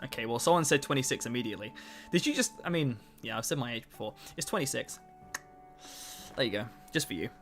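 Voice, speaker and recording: A young man in his twenties talks casually to his livestream chat. His voice sits at a middling pitch, and he jokes at his own expense. Faint music and keyboard typing run underneath. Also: expressive, quick pace, fairly bright tone. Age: 20s. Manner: chatty, self-mocking, answers chat between tasks. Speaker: streamer.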